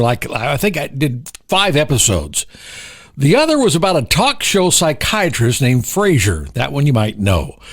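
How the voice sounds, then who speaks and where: A man hosting a music radio show in DJ patter, chatty and given to joking asides. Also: fairly high pitch. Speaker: radio host. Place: home studio. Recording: music bed under the voice.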